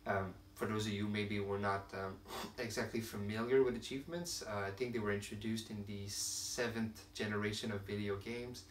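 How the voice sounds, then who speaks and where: A man's voice: fairly low pitch, fairly even intonation, normal speed. A young man from Quebec whose first language is French, talking casually to camera as a channel host, with many fillers and restarts as he searches for words. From Quebec, Canada. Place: room at home with shelves of films and games. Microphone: camera at a distance. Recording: roomy, distant camera sound, faint hiss.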